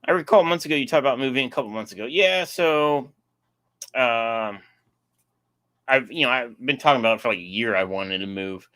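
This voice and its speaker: A man's voice: mid-range voice, a little fast, fairly bright tone. A young man casually hosting a live stream, rambling and answering chat, his voice getting sore.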